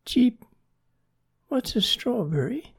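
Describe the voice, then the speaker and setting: A storyteller voicing a small male baby chickadee, squeaky and excitable, in a children's story. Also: slow, breathy edge, exaggerated voice. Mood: timid peeping. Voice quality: squeaky, peeping. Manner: cheeping, whimpering, excitable.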